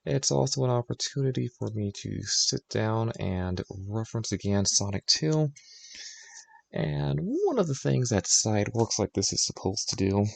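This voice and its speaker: Low gravelly man thinking aloud. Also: sing-song, measured pace, slight background noise. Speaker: streamer writing fan fiction. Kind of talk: casual commentary on his own writing.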